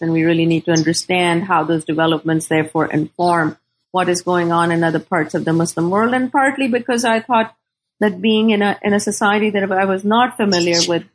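A middle-aged woman from Pakistan speaking as a scholar in an interview, thoughtful and deliberate, often pausing to search for words. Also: fairly low pitch, a little hiss or hum, low-quality recording. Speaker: scholar and author being interviewed. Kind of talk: thoughtful academic interview talk.

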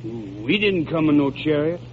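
An actor playing a gruff, suspicious servant in a radio drama, with folksy, stammering, ungrammatical speech. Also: male voice, a little high, sing-song, measured pace. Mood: baffled. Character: gruff, suspicious, uneducated male servant and driver. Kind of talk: 1940s radio drama character acting. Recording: muffled old radio recording, faint noise.

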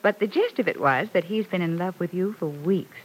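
A woman's voice: fairly low pitch, a little fast, husky, low-quality recording. A woman playing a pert, scheming young working woman in an old-time radio soap opera, coy and insinuating. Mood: coy, encouraging. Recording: vintage radio recording.

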